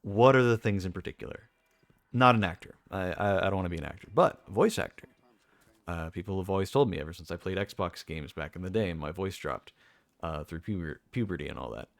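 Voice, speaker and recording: A young man with a deep voice, talking casually and rambling with lots of fillers and restarts as a streamer. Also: animated, a little fast, vocal fry, no echo. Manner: rambling, self-correcting, many fillers.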